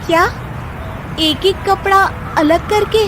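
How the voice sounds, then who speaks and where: A woman's voice: very high-pitched, slow, noisy background, pitched above the performer's own voice. A woman voicing a polite, bewildered young newlywed bride in a cartoon story. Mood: flustered. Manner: polite, flustered, talks to herself.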